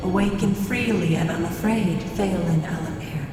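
A woman voicing a calm, confident tech-priestess who leads a cult. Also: fairly low pitch, moderate pace, roomy sound, music in the background, lightly acted. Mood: imperious. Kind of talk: fan audio drama acting.

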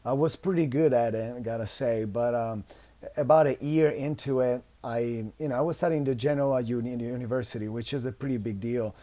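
A man's voice: mid-range voice, fairly even intonation, brisk, dark tone. A man in his thirties from Italy with an Italian accent, a guest telling his life story in a loose, rambling way full of restarts. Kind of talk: casual interview chat.

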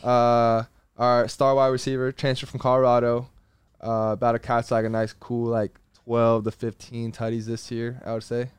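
A man's voice: mid-range voice, monotone, normal speed, smooth, no room sound. A man in his twenties casually bantering as a podcast co-host, teasing and slangy. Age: 20s. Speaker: podcast co-host, college football player. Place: inside a truck.

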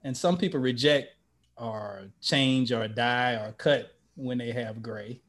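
Man, 50s, casual chat, earnest storyteller, mid pitch. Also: takes it slowly, fairly bright tone. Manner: earnest, storytelling, self-correcting.

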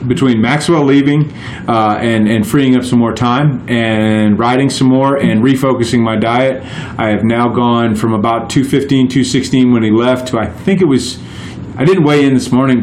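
A man's voice: medium pitch, normal speed, darkish tone, reverberant, slight background noise. A man in his forties, a guest on a podcast, telling his stories in a casual, rambling way with many restarts. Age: 40s. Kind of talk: casual chat.

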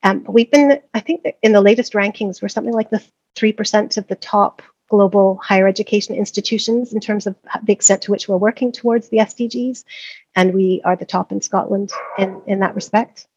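A middle-aged woman from Canada answers interview questions in a thoughtful, searching way, often restarting her sentences. Her voice has a gravelly edge. The recording is dry and a little dull. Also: mid-range voice, steady tone, brisk, a little mellow, no echo. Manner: thoughtful, many restarts and fillers. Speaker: guest, professor of practice. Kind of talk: conversational interview.

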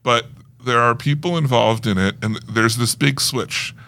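A man with a noticeably creaky, gravelly voice at an ordinary male pitch. He talks casually as a podcast co-host, laying out geeky fan knowledge in long, conversational explanations. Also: measured pace, bright, forceful tone. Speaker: podcast co-host reviewing film news. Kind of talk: casual chat.

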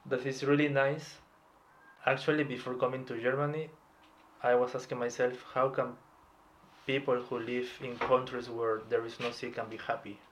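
A man in his thirties from Peru, talking in a relaxed, hesitant way as a podcast interview guest. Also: mid-range voice, moderate pace, a little hiss or hum, poor audio. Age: 30s. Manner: reflective, hesitant, with light laughs. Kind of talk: casual personal interview.

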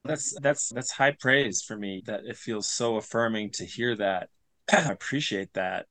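A man as an interview guest, talking informally and reflectively, halting as he searches for his words. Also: on the low side, a bit bright, no room sound. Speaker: podcast guest, writer and audio creator.

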